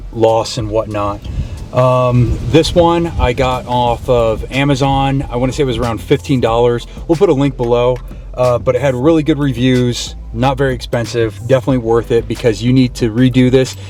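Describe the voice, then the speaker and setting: A man's voice: medium pitch, steady tone, moderate pace, a little mellow, faint music behind. A man hosting a casual, unscripted how-to vlog, explaining somewhat hesitantly as he thinks aloud. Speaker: vlog host.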